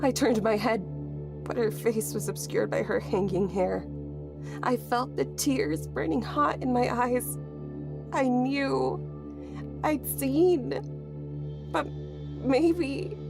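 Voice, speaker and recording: Desperate, higher-voiced horror survivor. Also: female voice, gravelly, full character voice. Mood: shaken, pained. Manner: halting, emotional, intense. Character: desperate, traumatized woman survivor telling her horror story. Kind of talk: horror audio drama narration and acting. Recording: loud music behind the voice.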